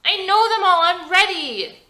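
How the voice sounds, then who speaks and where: A woman's voice: very high voice, animated, a little slow, sharp tone, voice pushed up, exaggerated voice. A woman playing a nerdy ten-year-old boy in a script reading, whiny and insistent, anxious but cocky. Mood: impatient. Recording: roomy echo.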